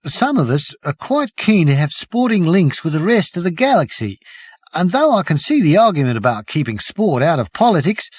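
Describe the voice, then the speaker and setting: A man's voice: sits high, no echo, voice pushed up. A man voicing a bewildered, mild-mannered young cricketer in an audiobook, hesitant, apologetic and mumbling. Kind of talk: audiobook character voice.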